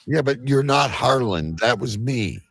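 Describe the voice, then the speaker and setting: A man's voice: mid-range voice, expressive, a little slow, a crowd in the background. A man hosting a live comedy show, bantering in a teasing, foul-mouthed way.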